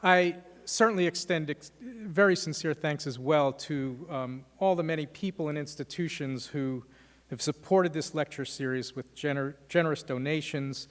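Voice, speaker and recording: A man hosting a law school lecture, giving measured welcoming remarks and thanks, with frequent "uh" fillers. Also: fairly high pitch. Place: lecture hall. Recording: long hall reverb.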